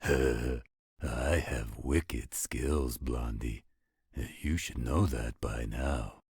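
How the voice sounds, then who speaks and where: A man playing a gruff, teasing werewolf with a husky voice in a roleplay audio drama. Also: very slow, a little mellow, no echo, studio quality, heavily acted. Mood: easygoing, teasing. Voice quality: very deep, husky. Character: gruff, teasing male werewolf with a laid-back streak.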